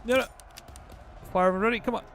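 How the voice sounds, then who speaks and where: A man's voice: high voice, fairly even intonation, brisk, gravelly, fairly bright tone, slight background noise. A man from Serbia with a Serbian accent, casually commenting live on a strategy game while ordering his troops and chatting with viewers. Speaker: game streamer.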